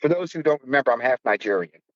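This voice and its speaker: A man's voice: mid-range voice, animated, fast talker, dry recording, lo-fi sound. A man in his fifties from the United States, a podcast host telling a personal story in a blunt, profane, self-mocking way. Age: 50s. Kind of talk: casual storytelling.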